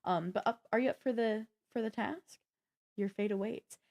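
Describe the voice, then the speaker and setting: A woman's voice: fairly high pitch, moderate pace, a little mellow. A woman co-hosting a gaming news show, talking to her audience in a casual, conversational way while partly reading from notes. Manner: conversational, addresses the audience directly.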